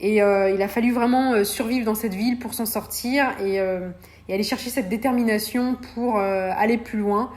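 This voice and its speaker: A woman's voice: on the high side, steady tone, normal speed, a little mellow. Hesitant woman hosting a podcast. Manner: hesitant, many fillers. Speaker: podcast host. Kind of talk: solo podcast monologue.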